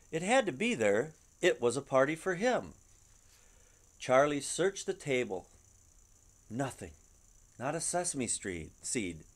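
A middle-aged man reading a children's picture book aloud at home in an expressive, dramatic storytelling manner. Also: medium pitch. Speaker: storybook reader. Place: living room at home. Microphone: webcam at about arm's length.

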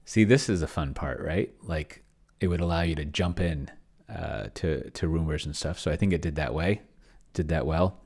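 Very deep, gravelly middle-aged man thinking aloud. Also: moderate pace, a little mellow. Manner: rambling, muttering to himself while reading and typing. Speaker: host, solo roleplayer testing an AI dungeon master. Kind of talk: casual think-aloud screen-share commentary. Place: indoor home room with shelves.

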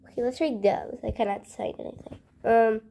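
A woman's voice: a little high, fairly even intonation, fairly quick, creaky, mellow, slight background noise. A woman hosting a gaming channel, casually thinking aloud over gameplay in a hesitant way, often trailing off into murmurs.

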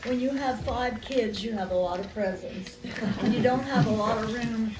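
A woman's voice: fairly high pitch, normal speed. Far from the mic, with noise behind her, a woman in her nineties reminisces in an echoey space. She gives a heartfelt testimony to her church, speaking informally about her memories. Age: 90s. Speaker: church member giving testimony. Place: barn gathering.

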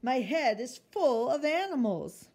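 A woman's voice: high voice, big pitch swings, measured pace, darkish tone, pitched above the performer's own voice, heavily acted. A woman voicing an imaginative, earnest little girl in a storybook, exclaiming in wide-eyed wonder. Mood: excited, proud.

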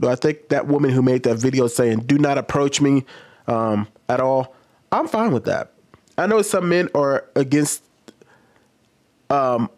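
A man's voice: mid-range voice, a little mellow. An American man in his thirties giving casual opinion commentary as a host, conversational and often posing questions to himself. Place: small home room studio. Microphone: microphone on boom arm.

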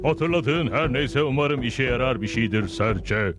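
A man's voice: mid-range voice, animated, measured pace, natural voice. Man as a dry, dignified cartoon chief. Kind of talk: dubbed cartoon acting. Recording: music behind the voice.

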